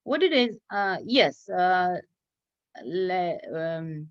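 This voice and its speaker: A woman telling a story and teaching children in an expressive, encouraging, teacherly way over a video call. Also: on the low side, very slow. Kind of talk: read-aloud storytelling and quizzing children in an online class. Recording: dull lo-fi online class audio.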